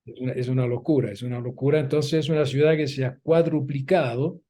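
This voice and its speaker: A man's voice: mid-range voice, fairly even intonation, a little fast, warm tone, a little hiss or hum. An older man from Italy, native Italian speaker, answering interview questions in a thoughtful, hesitant way with frequent restarts. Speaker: guest academic.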